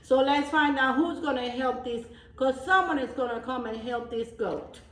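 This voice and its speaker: A woman reading a storybook aloud as a teacher of young children, pausing for simple explanations and repeating phrases. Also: high-pitched. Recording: roomy echo, distant microphone.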